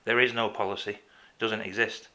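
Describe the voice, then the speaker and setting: Bright-voiced, insistent Englishman on a call. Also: on the low side, level tone. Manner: insistent, halting, repeats himself. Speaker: caller to a council office. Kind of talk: phone conversation, making a complaint.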